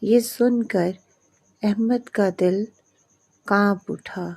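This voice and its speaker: A woman narrating a scripted moral story in short, measured phrases with pauses. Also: medium pitch, creaky, darkish tone.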